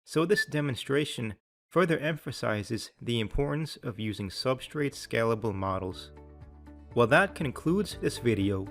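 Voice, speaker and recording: A man with a mid-range voice narrates a scripted software demonstration. His delivery is measured and instructional, explaining each step as a tutorial narrator would. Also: mid-range voice, expressive, faint music behind.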